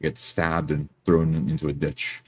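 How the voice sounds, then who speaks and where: A man improvising first-person narration as a tabletop roleplay player, speaking in a halting, hesitant way. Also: rumbling low, level tone, breathy edge.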